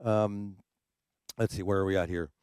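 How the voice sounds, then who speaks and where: A man's voice: low-pitched, fairly even intonation, moderate pace, a little mellow, clear, resonant tone, dry recording. A man who works as a DJ, hosting a casual livestream chat from his home studio, rambling with many fillers and repeated words. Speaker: streaming DJ and show host.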